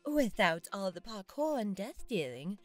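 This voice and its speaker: An actress playing a friendly, observant twin sister at a party, conversational in a naturalistic audio drama. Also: female voice, mid-range voice, very animated, measured pace, no room sound, soft background music. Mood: amused.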